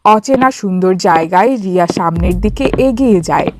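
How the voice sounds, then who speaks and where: A woman narrating a scripted cartoon story in an expressive storytelling style. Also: medium pitch, moderate pace, noisy background.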